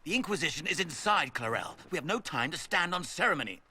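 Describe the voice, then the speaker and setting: An actor playing a haughty, zealous scheming magister in a video game, with a theatrical, emphatic delivery. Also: male voice, a little high, very animated, fast, bright, forceful tone, husky, heavily acted. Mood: urgent. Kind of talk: dramatic video game acting.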